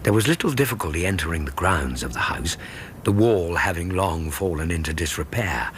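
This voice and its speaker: An actor playing an earnest Victorian British gentleman, a loyal companion narrating a radio drama in a measured, suspenseful, literary way with a husky voice. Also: sits low, animated, slight background noise. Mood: tense, suspenseful.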